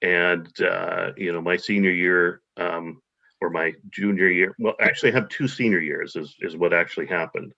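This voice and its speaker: A man's voice: low-pitched, fairly even intonation, normal speed, gravelly, bright, forceful tone. A man being interviewed, talking hesitantly with many fillers and restarts. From United States. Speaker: guest. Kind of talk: casual interview. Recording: dull lo-fi audio.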